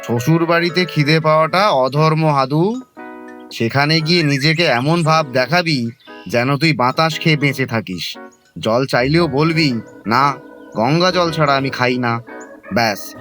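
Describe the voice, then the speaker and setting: Man playing pompous educated young friend, Bengali accent, fairly high voice, lecturing, comedy audio drama. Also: unhurried, a bit bright, faint music behind. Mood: self-important.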